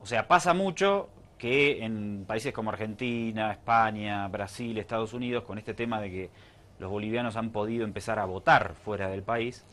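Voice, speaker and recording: A man's voice: lowish voice, moderate pace, a bit bright. A man hosting a group interview, asking probing questions in a conversational way. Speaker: interviewer, show host.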